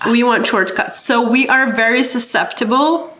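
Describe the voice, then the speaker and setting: A woman's voice: on the high side, moderate pace, pushed and bright, poor audio. A woman hosting a wellness podcast in casual chat, earnest and thoughtful, restarting her phrases often. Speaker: podcast host.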